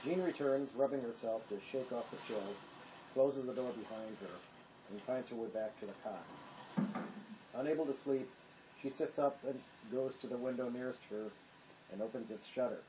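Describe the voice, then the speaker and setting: A man's voice: medium pitch, normal speed. A man narrating a play, reading its stage directions as scripted narration. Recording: noisy amateur recording.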